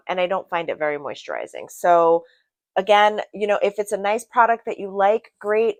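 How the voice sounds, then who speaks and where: Low-pitched woman reviewing beauty products, dry sound. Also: dry recording. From Brazil. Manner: chatty, emphatic, repeats words for emphasis. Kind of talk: casual product review to camera.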